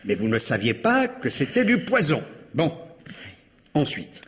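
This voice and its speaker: A man's voice: on the high side, huge pitch swings, unhurried. A man playing an impatient, sardonic police commissioner in a 1950s French radio mystery, insistent and ironic. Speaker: radio actor.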